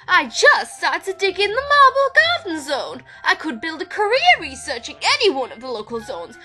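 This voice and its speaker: A woman's voice: very high voice, pushed and bright, heavily acted. A woman voicing a prim, scholarly female pika archaeologist who speaks in a genteel, chatty and easily flustered way. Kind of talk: fan voice acting reel.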